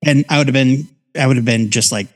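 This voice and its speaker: A man's voice: mid-range voice, fast talker. An American man in his forties giving casual, conversational commentary as a podcast co-host. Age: 40s. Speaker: podcast co-host reviewing comics.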